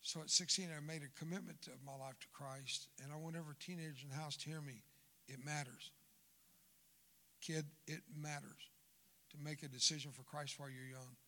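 A man's voice: on the high side, fairly even intonation, fairly quick, creaky, sharp tone. A man in his seventies preaching in a church, folksy and joking with the crowd, who grew up speaking Spanish first. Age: 70s. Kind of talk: sermon. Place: church sanctuary.

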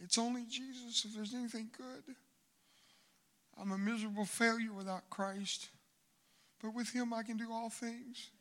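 Older man preaching, bright and dramatic. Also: very high voice, fairly even intonation, normal speed, pauses often. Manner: dramatic swings between loud exhortation and hushed asides. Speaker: guest preacher. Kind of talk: sermon. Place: church sanctuary.

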